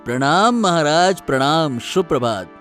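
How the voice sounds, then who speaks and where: A man's voice: fairly high pitch, animated, unhurried, a bit bright, faint music behind. A man voicing a greedy, scheming royal courtier in a cartoon story, with an oily, insinuating manner. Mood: fawning, insinuating. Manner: oily, insinuating, talks aloud to himself. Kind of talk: animated moral story acting.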